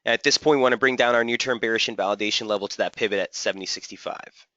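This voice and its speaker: Slightly hoarse man hosting a trading webinar. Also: fairly low pitch, rapid-fire, bright, forceful tone, no echo, highs cut off. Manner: talks through charts while answering typed viewer questions. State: slightly hoarse, losing his voice. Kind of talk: live market analysis webinar.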